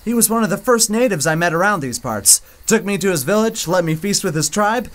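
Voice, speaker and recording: A wry jungle guide. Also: male voice, sits high, lively intonation, brisk, fairly bright tone. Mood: chatty. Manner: wry, matter-of-fact. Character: knowledgeable male jungle guide, wry and chatty. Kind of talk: 1930s radio serial acting. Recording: background noise.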